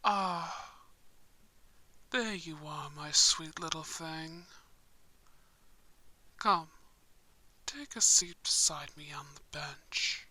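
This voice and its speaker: Woman doing a vampire prince, low n super slow, teasing, sweet-talking roleplay. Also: bright, forceful tone, heavily acted. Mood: teasing, affectionate. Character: male vampire prince, possessive and teasingly affectionate. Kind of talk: slow intimate roleplay audio drama.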